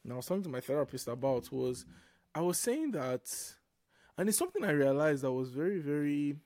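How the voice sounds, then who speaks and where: A man's voice: fairly high pitch, expressive, fairly quick, darkish tone, no room sound. A young man with a Nigerian accent chats on a podcast about his own life. His voice sits in a middle range and sounds dry and close to the mic. He circles his thoughts, restarting words as he goes. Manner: reflective, repeats and restarts words. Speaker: podcast co-host. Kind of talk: casual faith-based podcast chat.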